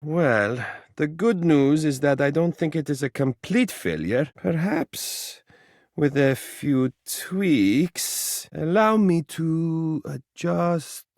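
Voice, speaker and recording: A living mirror from a video game, a fussy and doting male lover with a very high opinion of himself. His voice sits slightly high and moves in big, expressive swings. He speaks in flowery, melodramatic phrases, coaxing and pompous, in a dry, close recording. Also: takes it slowly, no room sound. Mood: smug, doting. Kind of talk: theatrical character dialogue for a video game.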